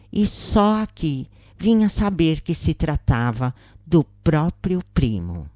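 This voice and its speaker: A Brazilian woman narrates a scripted account in a very low voice. Her delivery is slow and measured, with a soft, mellow tone. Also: no echo, studio quality. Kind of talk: scripted narration of a first-person spiritist account.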